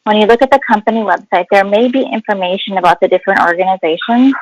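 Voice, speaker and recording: A woman's voice: mid-range voice, fast, dull audio. A woman speaking as a guest HR expert, explaining in an instructive webinar style with emphasis on key words.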